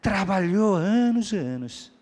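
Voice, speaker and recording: A male pastor preaches in a lively, sing-song voice that sits slightly high for a man. He swings between quiet, low asides and loud, soaring repetitions. The sound carries the long reverb of a church hall. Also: unhurried. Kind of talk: sermon.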